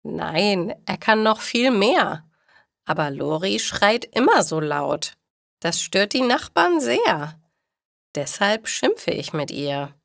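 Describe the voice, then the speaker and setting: A woman's voice: medium pitch, sing-song, slow pace, vocal fry, fairly bright tone, dry recording, studio quality, heavily acted. A woman voicing an emphatic, courteous lady, a flustered pet owner in a storybook. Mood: apologetic. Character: embarrassed, polite lady who owns a noisy parrot.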